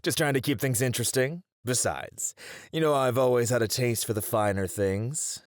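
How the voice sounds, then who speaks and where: A man's voice: medium pitch, moderate pace, no room sound, studio quality, natural voice. A man playing a charming, mischievous, flirty mafia boss in a teasing, theatrical ASMR roleplay. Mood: playful, coy. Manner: teasing, theatrical, smooth-talking.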